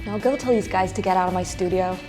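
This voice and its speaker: A woman's voice: fairly low pitch, fast, close to an everyday voice, low-quality recording. Woman playing a sarcastic, blunt sitcom music critic. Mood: scornful. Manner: sardonic, dismissive.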